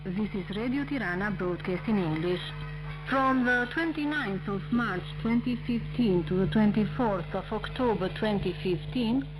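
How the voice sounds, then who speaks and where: Female shortwave announcer, mid register, delivering a scripted station announcement over very loud music. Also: mid-range voice, moderate pace, low-quality recording. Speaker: radio announcer. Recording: shortwave radio broadcast under loud music.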